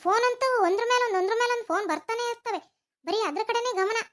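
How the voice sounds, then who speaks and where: A woman's voice: extremely high pitch, racing, background noise. A woman voicing a nagging worried mother. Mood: exasperated. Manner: nagging, pleading, lamenting. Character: worried middle-aged mother scolding her grown son. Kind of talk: home-made cartoon voice acting.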